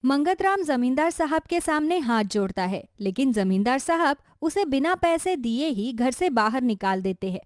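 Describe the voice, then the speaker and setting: A woman's voice: high voice, big pitch swings, moderate pace, few pauses, no room sound, clean studio sound. A woman reading scripted narration for a cartoon story.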